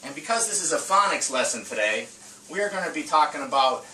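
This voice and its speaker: A man presenting an informal reading lesson as a teacher, speaking in a hesitant, halting way with frequent filler pauses. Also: on the high side, expressive, pushed and bright. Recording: roomy, distant mic with faint noise.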